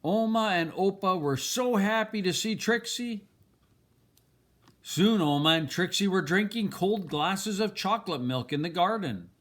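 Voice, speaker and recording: Slow, expressively swooping man reading a storybook. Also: high-pitched. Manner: deliberate, expressive storytelling with dramatic pauses. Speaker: storybook reader. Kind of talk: children's picture book read-aloud.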